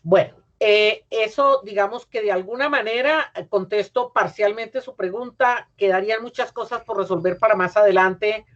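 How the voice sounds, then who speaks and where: A middle-aged Colombian woman, a university professor, giving an academic lecture over a video call, measured and explanatory, with frequent pauses and self-corrections. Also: medium pitch. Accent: Colombian Spanish. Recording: muffled lo-fi video call audio.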